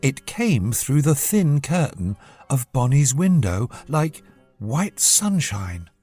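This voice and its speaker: A storyteller giving a measured, expressive scripted narration of a children's bedtime story. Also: medium pitch, slightly rough, soft background music.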